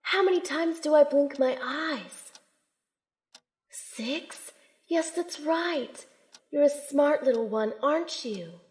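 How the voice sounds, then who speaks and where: A woman performs a young shaman heroine in an audio drama. She has a fairly high voice and tells her own story as narrator. She comes across as plucky, resourceful and kind. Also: animated, slow pace, a little mellow. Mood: gentle, playful. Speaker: narrator and protagonist. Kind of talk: first-person audio drama narration and acting.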